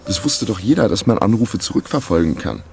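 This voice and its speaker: Wry, low-voiced down-on-his-luck sleuth. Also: very animated, fairly quick, creaky, a little mellow, soft background music. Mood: dry, wry. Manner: dry, sardonic. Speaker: narrator and lead character. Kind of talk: radio crime drama, first-person narration and dialogue.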